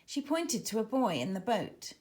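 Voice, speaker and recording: A woman reading a children's book aloud in an expressive, dramatic storytelling manner, as scripted narration for young listeners. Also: fairly high pitch, moderate pace. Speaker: author reading her own children's book.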